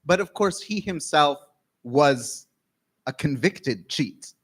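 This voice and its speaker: A man from Canada giving an academic keynote lecture, speaking in measured phrases and pausing often. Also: fairly high pitch, expressive, a bit bright, slightly rough.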